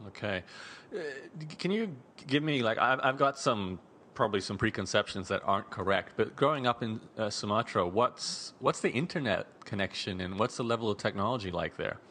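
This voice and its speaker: A man's voice: lowish voice, animated, brisk, sharp tone, a little hiss or hum. A man hosting an interview, talking in a chatty way with frequent restarts and repeated words. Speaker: podcast host.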